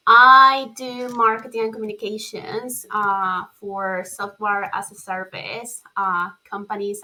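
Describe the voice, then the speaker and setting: Bright-voiced woman from Ecuador. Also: mid-range voice, low-quality recording. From Ecuador, Guayaquil. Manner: warm, friendly, with fillers. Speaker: panelist, community founder. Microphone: video call.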